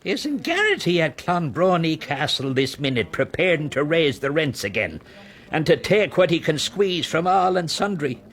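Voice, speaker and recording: An actor playing a wry, talkative country innkeeper with an Irish accent, probing and storytelling in a radio drama. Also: male voice, on the high side, very animated, moderate pace, a bit bright. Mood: indignant.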